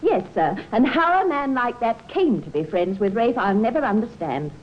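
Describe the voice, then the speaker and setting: A woman's voice: a little high, very animated, normal speed. An actress playing a chatty, indignant married woman, forthright and gossipy, in an old time radio drama. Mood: chatty, admiring. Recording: muffled old radio recording.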